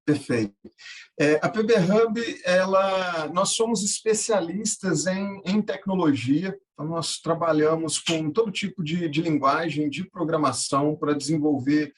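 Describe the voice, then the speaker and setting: A man, answering interview questions conversationally and haltingly, often repeating words. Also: fairly high pitch. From Minas Gerais, Brazil. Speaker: podcast guest, tech founder and angel investor.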